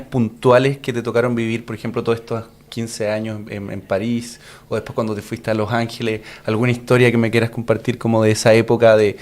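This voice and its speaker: A man with a Chilean accent interviewing a guest, chatty and friendly, with many fillers and quick affirmations. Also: medium pitch, a little mellow. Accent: Chilean Spanish. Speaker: interviewer host. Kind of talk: casual interview conversation.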